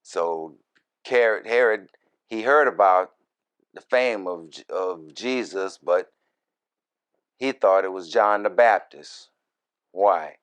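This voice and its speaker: A man who is a minister reads scripture verse by verse and explains it in deliberate, broken short phrases with folksy, informal asides. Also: lowish voice, smooth. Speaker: minister, Bible teacher.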